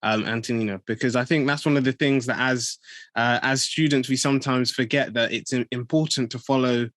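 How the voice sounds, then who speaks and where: A man's voice: mid-range voice, steady tone, brisk, fairly bright tone, no echo, studio quality. A young man hosting a podcast interview, chatty and upbeat, with many fillers and restarts. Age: young adult. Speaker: podcast host.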